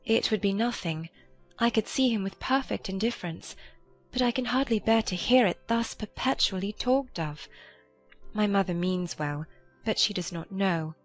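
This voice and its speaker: A woman voicing a gentle, long-suffering young elder sister in a dramatized audiobook, earnest and restrained. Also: medium pitch, moderate pace, soft background music, natural voice. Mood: quietly distressed.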